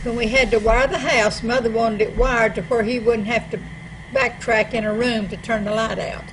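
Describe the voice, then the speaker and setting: A woman's voice: a little high, steady tone. A woman in her eighties with an East Tennessee accent, plainly reminiscing about her rural childhood in a small library room. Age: 80s. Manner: unhurried, plain-spoken. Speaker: storyteller. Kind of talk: casual reminiscing. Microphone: table microphone. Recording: noisy room recording.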